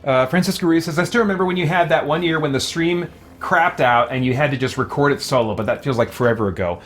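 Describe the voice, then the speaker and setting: A man's voice: on the high side, fast, fairly bright tone. Rambling casual male game streamer. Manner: informal, rambling, thinking aloud. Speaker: game streamer and YouTuber, host. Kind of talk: casual livestream commentary while gaming, reading and answering chat.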